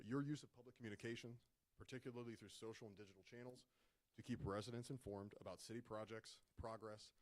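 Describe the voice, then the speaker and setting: A man addresses a city council from the podium, reading prepared remarks at a brisk clip. His voice sits a little low and carries loudly through the council chamber. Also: poor audio. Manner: formal, reads from prepared remarks, loud voice. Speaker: resident speaking at public comment. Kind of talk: formal prepared statement. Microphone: podium microphone, partly dead.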